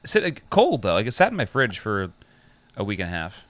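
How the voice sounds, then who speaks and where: A man's voice: fairly low pitch, huge pitch swings, brisk, fairly bright tone, no echo. A young man co-hosting a podcast in casual chat, joking and laughing often. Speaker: podcast co-host.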